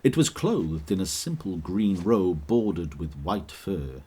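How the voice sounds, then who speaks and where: Deep-voiced male storyteller. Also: animated, warm tone, slightly rough. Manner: measured, vivid storytelling. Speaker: narrator. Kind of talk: scripted storybook narration.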